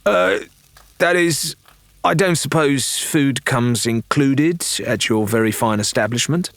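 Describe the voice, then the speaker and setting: A bold young pirate woman, acted by a male narrator, cheeky and mock-polite. The voice is lifted high and wheedles its way along, in a dry audiobook sound. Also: slow pace, fairly bright tone, no room sound. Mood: hesitant wheedling. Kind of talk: audiobook character voice.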